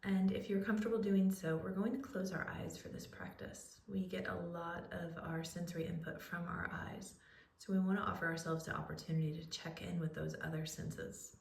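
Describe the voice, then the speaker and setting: A woman leading a guided relaxation, speaking in a soothing, unhurried way with pauses as she gives gentle instructions. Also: lowish voice, steady tone, gravelly. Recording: roomy echo, distant microphone.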